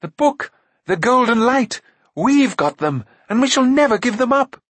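An excitable young prince, played by a male narrator in an audiobook, pitched high and loud. He sounds naive and breezy, full of defiant pluck. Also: a little slow, slightly rough, no echo, exaggerated voice. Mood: triumphant. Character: blundering, stout-hearted, excitable young prince. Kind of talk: audiobook character acting.

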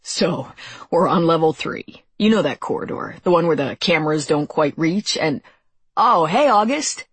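Woman voicing a cheerful, husky young soldier guy. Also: sits low, lively intonation, normal speed, dry recording, heavily acted. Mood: upbeat. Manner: upbeat, casual. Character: cheerful, brawny eighteen-year-old male soldier, friendly and bouncy.

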